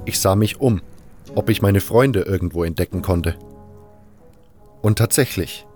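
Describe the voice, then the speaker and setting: A man reading a scripted first-person fantasy story as narrator, in a measured, literary delivery. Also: low-pitched, darkish tone, soft background music. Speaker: narrator of a fan audio drama.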